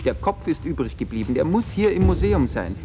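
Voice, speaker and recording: An actor playing a brooding, wry writer who muses in halting fragments in a radio play monologue. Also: male voice, on the high side, very animated, mellow. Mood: polite, insistent. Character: brooding wandering writer, a man, wry and melancholy. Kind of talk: first-person literary radio play monologue. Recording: clearly noisy ambient radio recording.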